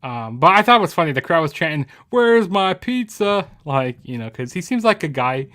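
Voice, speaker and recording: A man's voice: fairly high pitch, big pitch swings, moderate pace, a bit bright. A man giving a casual, rambling spoken review of a wrestling show, full of ums, you-knows and restarts.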